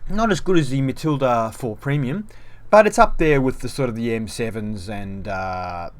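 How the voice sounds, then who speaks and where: A male video game reviewer talks casually through a tank's numbers, chatty and a little self-deprecating. His voice sits in an ordinary middle pitch for a man. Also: lively intonation, normal speed.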